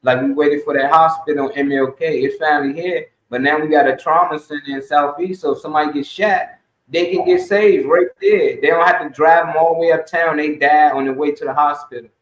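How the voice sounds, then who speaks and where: Echoey, high-voiced man talking emphatically. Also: fairly even intonation, a little fast. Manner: emphatic, exhorting, colloquial, swearing. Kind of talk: casual opinionated community talk. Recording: dull, echoey room sound.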